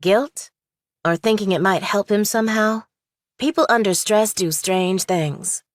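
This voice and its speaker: A woman's voice: medium pitch, unhurried, dry recording, clean studio sound, natural voice. A woman voicing a skeptical young law student in an audiobook, clipped, probing and lawyerly. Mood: probing, accusing. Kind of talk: audiobook character dialogue.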